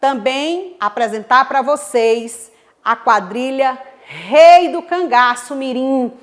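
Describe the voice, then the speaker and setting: High-voiced northeastern woman teacher. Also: slow, faint music behind. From Northeast Brazil. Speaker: history teacher. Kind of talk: televised school lesson with poem recital.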